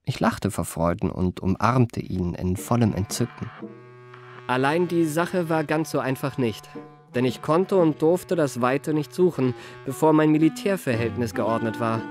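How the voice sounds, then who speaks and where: A man's voice: mid-range voice, expressive, a little slow, faint music behind, close to an everyday voice. An actor playing an urbane male memoirist, narrating a radio play in an elegant, polished and formal manner. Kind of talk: scripted first-person radio play narration.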